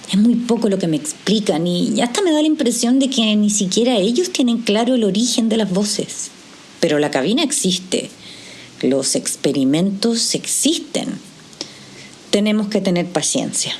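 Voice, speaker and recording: Direct, guarded professional woman, caring, mid-pitched and measured, audio drama. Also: very animated, a little mellow, a little hiss or hum. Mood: tender, cautious.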